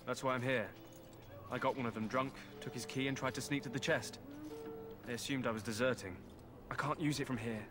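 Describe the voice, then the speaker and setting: A man's voice: mid-range voice, steady tone, brisk, music in the background, close to an everyday voice. An actor playing a starving, sly prisoner in a fantasy game, wry and wheedling as he bargains. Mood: sly bargaining.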